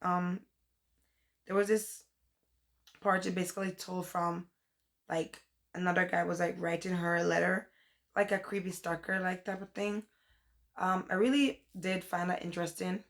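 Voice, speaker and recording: Young woman, hoarse from a cold, gravelly low-ish voice, rambling casual book vlogger. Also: steady tone, moderate pace, pauses often. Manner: chatty, rambling, says "like" and "um" often. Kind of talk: casual book review vlog.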